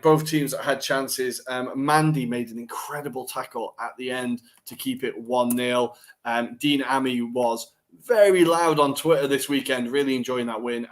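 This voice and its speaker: A man co-hosting a podcast, talking through football results in a loose, animated way. He is blunt with his opinions and slips into laughter easily. His voice is mid-pitched and ordinary. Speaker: podcast co-host. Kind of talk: casual football recap chat.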